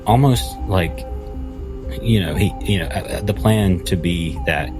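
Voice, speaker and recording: Male tabletop player describing his character's actions, extremely low voice, deliberate phrasing, music bed clearly underneath. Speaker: tabletop role-playing player. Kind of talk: narrating own character's actions in a tabletop game.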